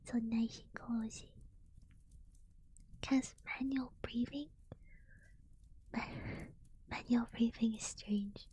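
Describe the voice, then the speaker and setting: Woman, streamer, whispering, very breathy, slow, tired, yawning. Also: fairly high pitch, steady tone. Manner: soft whispering, chatty with viewers. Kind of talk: whispered ASMR live stream chat.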